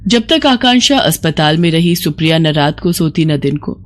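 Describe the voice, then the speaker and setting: A woman's voice: deep voice, animated, moderate pace. A woman narrating a scripted moral story as a storyteller.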